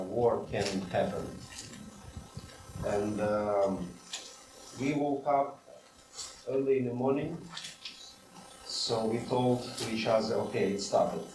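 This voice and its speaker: A middle-aged Ukrainian-accented man speaks as an interview guest, choosing his words carefully with short pauses. He sounds set back from the mic in an echoey room, with clear noise behind him. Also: medium pitch. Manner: careful, slightly halting English with pauses. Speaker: seminary president, guest. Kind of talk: interview answers. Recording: roomy, distant, noisy hall audio.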